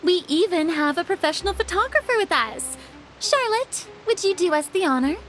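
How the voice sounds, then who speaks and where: A woman playing a genteel, reassuring young lady with expressive, measured phrasing in an anime game. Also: very high voice, a little hiss or hum. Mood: bright, explaining.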